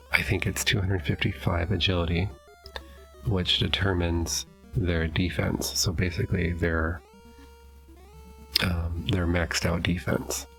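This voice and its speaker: Middle-aged man, low flat voice, stuffy nose, hesitant thinking aloud, faint game music. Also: gravelly, rough recording. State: stuffy, sniffling. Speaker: let's play host. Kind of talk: casual gameplay commentary. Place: home basement.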